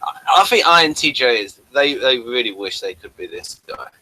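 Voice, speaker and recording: Man, bright sharp tone, middle pitch, casual reviewer, halting rambling delivery. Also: lively intonation, low-quality recording. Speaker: host of a TV review talk.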